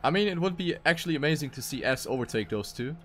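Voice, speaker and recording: A man's voice: fairly high pitch, lively intonation, fast talker, a bit bright. A man doing live commentary on an esports racing game as one of the casters. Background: music.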